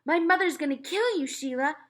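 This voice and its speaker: A woman's voice: sits high, lively intonation, brisk, a bit bright, pitched above the performer's own voice. A woman voicing a blunt, bossy young boy, an exasperated big brother in a storybook. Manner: short, emphatic commands and retorts.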